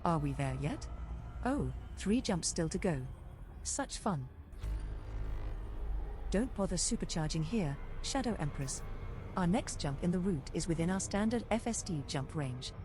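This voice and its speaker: A woman's voice: on the low side, animated, measured pace, pauses often, music in the background. A woman voicing a cheeky, helpful spaceship navigation computer, making scripted co-pilot announcements in game. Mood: sarcastic. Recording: noisy game audio.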